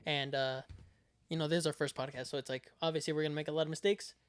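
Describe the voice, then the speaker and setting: Young male co-host from Mexico, raised voice pitch, loose and rambling, quick to laugh. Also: flat delivery, clear, resonant tone. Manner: loose and rambling, laughs often, swears casually. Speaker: podcast co-host. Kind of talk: casual chat.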